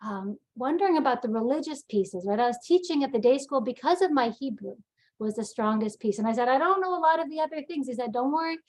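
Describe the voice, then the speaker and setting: A woman who is a synagogue rabbi, talking in a lively, chatty way on a video call. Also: sits high, fast. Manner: lively, chatty, sings snatches of song. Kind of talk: webinar personal remarks.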